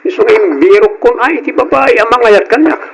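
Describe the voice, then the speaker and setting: Radio drama role: brash, evasive young womanizer played by a man, high-pitched with heavy creak, casual and defensive. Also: lively intonation, fairly quick, slight background noise, exaggerated voice, poor audio. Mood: boastful, casual. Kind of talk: old-style radio drama acting.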